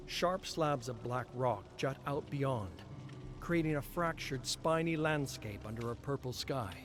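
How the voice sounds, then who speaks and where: A man giving a measured, expressive dramatic reading of game story text as a lore reader on a live stream. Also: mid-range voice. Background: clear background music.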